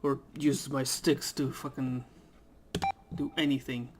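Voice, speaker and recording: A young man talks casually over a game at home into a desk mic, and he rambles and hesitates with plenty of fillers. His throat is irritated, so he keeps clearing it. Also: medium pitch, flat delivery, slow pace, noisy background. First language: Dutch. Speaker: gaming YouTuber. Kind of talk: casual let's play commentary. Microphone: desk microphone.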